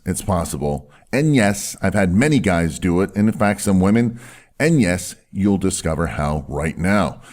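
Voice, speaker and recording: A man, a doctor, teaching viewers in an instructional video, emphatic and slowing down to drop his voice low on key words. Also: a little mellow. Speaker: doctor and health video host. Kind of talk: instructional video talk to camera.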